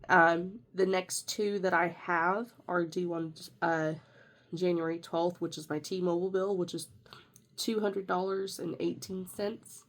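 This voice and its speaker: A young woman with a congested, nasal voice from a sinus infection, chatting casually as she walks through her budget planner. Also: fairly low pitch. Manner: chatty, rambling with fillers and self-corrections. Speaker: budgeting vlogger.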